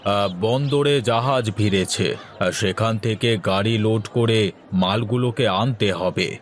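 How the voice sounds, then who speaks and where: A man's voice: on the low side, a little slow, close to an everyday voice. A man voicing a kindly, protective older merchant boss in a cartoon. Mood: busy, instructing. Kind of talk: Bengali cartoon dialogue.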